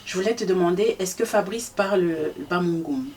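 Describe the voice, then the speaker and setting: A woman's voice: lowish voice, a little fast, darkish tone. A woman hosting a literary interview, encouraging her guest with frequent short replies. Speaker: host. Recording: distant voice with faint background noise.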